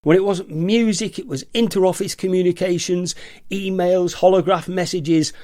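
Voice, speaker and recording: An amateur actor playing a jaded, agitated prisoner who rants about machines in a theatrical, emphatic way, as in a radio play. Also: male voice, high voice, steady tone, normal speed, darkish tone, lightly acted. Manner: theatrical, emphatic, ranting with repetition.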